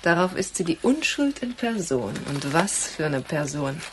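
A shrewd, teasing woman in a radio drama. Her voice is on the low side, with some noise behind it. She sounds knowing and intimate, stretching phrases to needle her partner. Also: sing-song, moderate pace. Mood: probing. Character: teasing, perceptive woman, the inspector's intimate confidante. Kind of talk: German radio crime drama acting.

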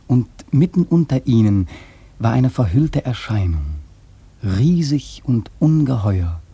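A wry, brooding seafarer telling his tale in a literary radio play. The voice is husky and mellow, recorded close and dry. He speaks reflectively, placing his emphasis with dramatic care. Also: medium pitch, no echo, full character voice. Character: brooding, wry sailor narrator drawn to the sea.

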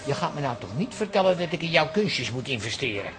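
A man's voice: on the high side, sing-song, fast talker, soft background music. A man playing a sceptical, hesitant, easily astonished fellow who is wary with money, in a comic radio drama. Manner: incredulous, hesitant.

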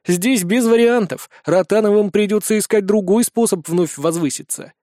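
A stubborn young fantasy hero , played by a man narrating. He speaks in a high male voice, plain and casual yet firm and defiant, and the sound is close and dry. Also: moderate pace, mellow, dry recording, natural voice. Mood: dismissive.

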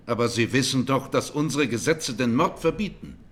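An actor playing a composed presiding judge, putting formal, probing questions in a radio courtroom drama. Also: male voice, medium pitch, animated, normal speed, close to an everyday voice.

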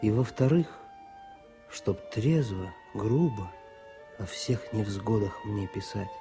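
An actor playing a quiet, thoughtful war correspondent and poet in a Soviet radio play, restrained and lyrical. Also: male voice, fairly low pitch, lively intonation, takes it slowly. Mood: tender, lyrical. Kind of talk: Soviet radio play acting with verse recitation. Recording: music mixed under the voice. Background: music.

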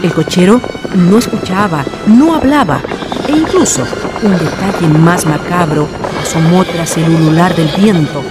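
A man's voice: sits high, measured pace. A man narrating a scripted horror radio drama in a solemn, dramatic manner. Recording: radio play with music underneath. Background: music.

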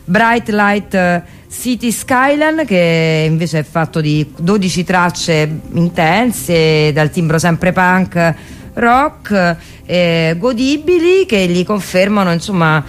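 Low-pitched woman presenting on radio, halting delivery. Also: lively intonation. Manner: halting, hesitant delivery with frequent fillers. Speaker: radio show host. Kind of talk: unscripted radio music presentation.

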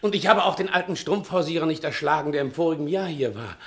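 Repentant male rogue for a 1960s radio play: gravelly, declamatory, effusive, giddy with new innocence. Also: fairly high pitch, quick pace. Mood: giddy relief. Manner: declamatory, effusive, swinging from hushed to exclaiming. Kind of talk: theatrical 1960 German radio play acting.

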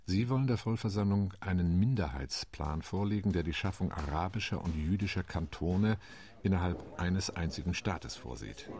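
A man playing a measured committee delegate. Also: low voice, animated, a little mellow. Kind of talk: radio play monologue.

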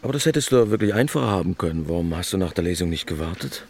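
An actor playing an evasive, guarded author and former lover, speaking in a terse, understated way in an intimate literary radio play. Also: male voice, low voice, animated, rapid-fire, a little mellow. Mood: irritated, probing. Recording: music clearly behind the voice.

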